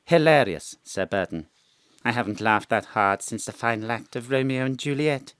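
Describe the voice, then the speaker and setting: A man's voice: mid-range voice, moderate pace, fairly bright tone, smooth, no echo, lightly acted. An actor playing a languid, faintly sinister male theatre bookkeeper who speaks in an arch, mannered drawl, recorded from a home studio. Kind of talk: live remote audio drama acting.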